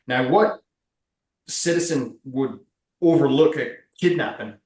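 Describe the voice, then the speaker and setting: Tabletop game role male player: jittery mercenary, slow, stammering, over-explaining himself, roomy sound. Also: mid-range voice, a little mellow, natural voice. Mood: nervous, halting. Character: nervous, rambling mercenary explaining himself to authorities. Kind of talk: improvised tabletop roleplay. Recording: echoey room, far from mic.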